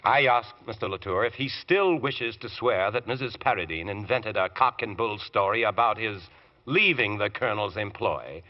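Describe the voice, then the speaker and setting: An actor playing a relentless barrister in an old radio drama, questioning in a clipped, insistent, accusatory way. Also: male voice, on the low side, expressive, normal speed, lightly acted. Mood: accusing, forceful. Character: relentless male defence barrister grilling a witness. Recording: dull lo-fi old radio recording.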